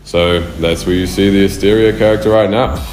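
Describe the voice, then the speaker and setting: Young New male artist, very deep, swears freely, echoey room. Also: fairly even intonation, a little fast, darkish tone, soft background music. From New Zealand. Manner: loose, slangy and profane. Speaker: music artist. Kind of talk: casual answers to interview questions. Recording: roomy echo.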